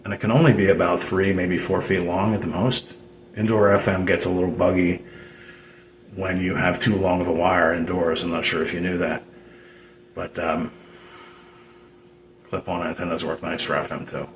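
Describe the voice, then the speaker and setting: A man's voice: low-pitched, little pitch movement, normal speed, pauses often. A man giving an unscripted, casual how-to explanation as a hobbyist, in a hesitant, rambling way with repeated phrases. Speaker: DIY radio hobbyist presenting a tutorial. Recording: roomy echo with background noise.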